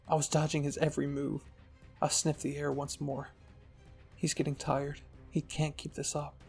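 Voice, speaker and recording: Husky, gravelly male storyteller. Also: on the high side, darkish tone. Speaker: storyteller and channel host. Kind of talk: dramatic scripted fan-fiction narration. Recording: music behind the voice.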